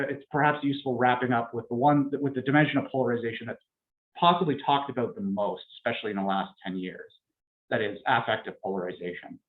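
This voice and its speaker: A man giving an academic political science lecture, explaining in a measured way with frequent fillers. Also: medium pitch. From Canada. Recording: tinny phone-like lecture recording.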